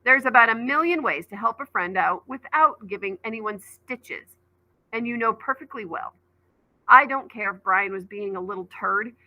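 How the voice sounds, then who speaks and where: A woman voicing a concerned, gentle but exasperated father in a children's storybook, scolding mildly with wry fondness. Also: fairly high pitch, moderate pace, dull audio, lightly acted. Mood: firm, understanding.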